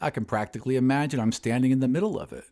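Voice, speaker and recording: A man's voice: mid-range voice, racing, warm tone, natural voice. A man voicing a chatty, admiring hospital orderly in an audio story, talking casually. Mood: casual admiration. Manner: casual, colloquial.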